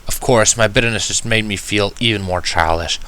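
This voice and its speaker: A man narrating a first-person fan fiction story in an expressive, wry, dramatic manner. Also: lowish voice, fairly quick. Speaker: audiobook narrator.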